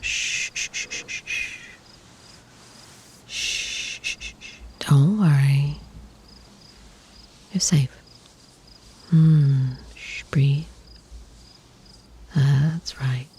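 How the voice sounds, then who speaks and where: A woman playing a protective vampire lover in an ASMR roleplay, soft and breathy, often whispering, with formal, measured wording. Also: rumbling low, heavily acted. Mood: soothing, tender. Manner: slow, soothing, doting, formal wording.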